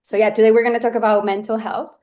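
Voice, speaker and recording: A woman's voice: fairly high pitch, little pitch movement, fast, a little mellow. A woman in her thirties from Colombia, presenting on a webinar over a video call in an earnest, loose way, with fillers and restarts. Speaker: webinar speaker. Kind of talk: presenting on a webinar, casual and personal.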